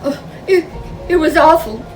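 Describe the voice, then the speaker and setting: A high-pitched, frightened little bear cub girl. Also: lively intonation, darkish tone, distant mic, soft background music. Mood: upset, shaken. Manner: stammering, halting, childlike.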